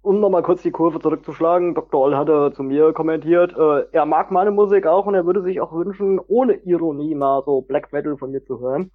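A man's voice: on the high side, brisk, few pauses. A man chatting casually as a guest on a group podcast. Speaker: podcast guest, hobby metal musician. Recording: dull lo-fi voice-call sound.

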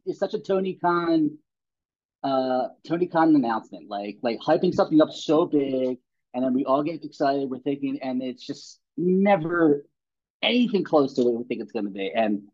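A man who works as a comedian, talking in a relaxed, easygoing way with many fillers, calling in over the web. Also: medium pitch, normal speed. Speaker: comedian guest. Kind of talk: casual podcast chat. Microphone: remote web call. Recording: lo-fi remote web call.

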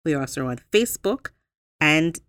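A woman hosting as a storyteller, telling folktales with dramatic pauses and emphasis. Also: sits low, animated, a bit bright, clear, resonant tone, dry recording. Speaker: storyteller, host.

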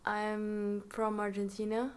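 Woman, grew up in France, flat intonation, thoughtful interview answer. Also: mid-range voice, takes it slowly, clean tone.

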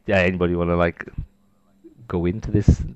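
A man's voice: sits low, flat delivery, a little fast, clean tone, no echo. A man with a Welsh accent, co-hosting a casual gaming podcast and rambling with lots of fillers. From Wales. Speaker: podcast co-host.

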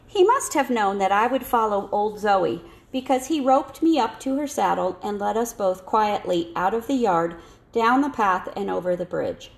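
Woman reading a story, gravelly creak. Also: mid-range voice, expressive, moderate pace. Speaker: audiobook reader. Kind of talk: scripted narration of a children's novel.

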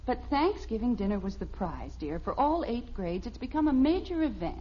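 A woman's voice: fairly high pitch, sing-song, normal speed, breathy edge. An actress playing a patient, placating American mother in an old-time radio sitcom. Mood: reasoning. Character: patient, gently reasoning family mother. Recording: muffled, faintly noisy old radio recording.

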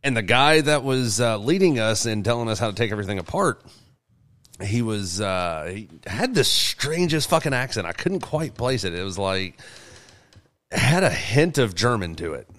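A man's voice: fairly low pitch, big pitch swings, normal speed, a bit bright, dry recording. A man in casual conversation, speaking bluntly and swearing freely. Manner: profane, blunt. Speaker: podcast co-host. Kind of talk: casual chat.

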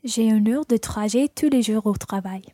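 Woman acting as friendly female learner, soft dark tone, careful hesitant delivery, language-course radio play, dry sound. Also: on the high side, normal speed, no room sound, close to an everyday voice. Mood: earnest, careful.

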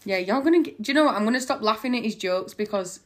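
A woman's voice: mid-range voice, expressive, fast. A young woman, co-hosting a casual couple's podcast, playful and teasing. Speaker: podcast co-host. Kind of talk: casual chat.

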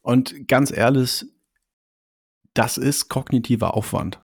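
Male co-host, gravelly low voice, dry close mic, careful hedging delivery. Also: slow pace, dry recording. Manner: thoughtful, hedging, weighs his words. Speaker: podcast co-host. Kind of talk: casual podcast chat.

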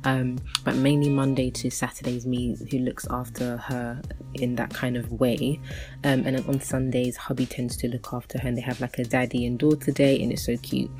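A woman's voice: very deep voice, flat delivery, normal speed, over music. A woman in her thirties hosting a solo podcast, chatting casually and rambling with many fillers and restarts. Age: 30s. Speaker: podcast host.

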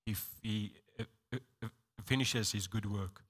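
A South African man leading a church service, talking with halting, stammering restarts. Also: lowish voice, fairly bright tone, slightly rough. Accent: South African English. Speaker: pastor. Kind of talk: sermon-like church talk.

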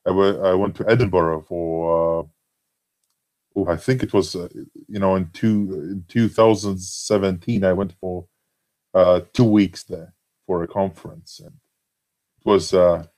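A man with a Latvian accent speaks in a slightly low voice. He talks in a casual, halting way, full of "you know" and restarts. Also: fairly even intonation, darkish tone. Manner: hesitant, many fillers and restarts. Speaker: forum member being interviewed. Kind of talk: casual conversation.

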